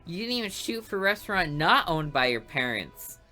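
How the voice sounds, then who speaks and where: Haughty ambitious schoolgirl, game character, read by a woman, condescending and crisp. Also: fairly low pitch, big pitch swings, fairly quick, faint music behind. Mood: snippy. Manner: patronizing and sharp.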